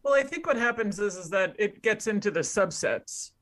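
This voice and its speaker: A woman's voice: medium pitch, animated, moderate pace, a bit bright. A woman speaking as a guest expert, explaining methodically in conversation with examples and contrasts.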